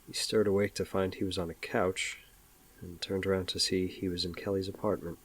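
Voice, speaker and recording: A man's voice: low-pitched, flat delivery, brisk. A man narrating a scripted audio drama, with ambient noise behind him.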